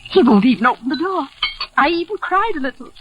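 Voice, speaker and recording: A woman's voice: high-pitched, big pitch swings, fast talker, a little hiss or hum. An actress playing a fretful, genteel middle-aged mother pleading in a 1940s radio drama. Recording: muffled vintage 1940s radio recording.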